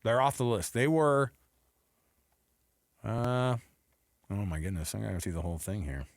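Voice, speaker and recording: A man's voice: fairly low pitch, moderate pace, gravelly, dry recording, clean studio sound. An American man hosting sports talk radio with casual, chatty banter that jumps off the cuff between thoughts. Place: radio studio.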